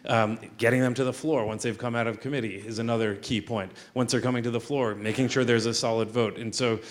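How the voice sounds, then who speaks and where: A man's voice: mid-range voice, steady tone, fast talker. A man in a state legislator's role answering at a panel, earnest, with many ums and restarts.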